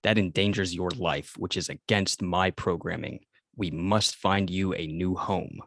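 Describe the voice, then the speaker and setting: A man playing a stoic, protective alien robot guardian in a table read, speaking formally and in measured phrases. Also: sits low, steady tone, vocal fry, a bit bright, no room sound, studio quality, full character voice. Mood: insistent.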